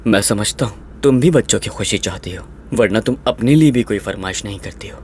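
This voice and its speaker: A man playing a caring, hard-pressed father and husband in an animated story, earnest and placating. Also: fairly low pitch, brisk, a little hiss or hum, close to an everyday voice. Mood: apologetic, earnest.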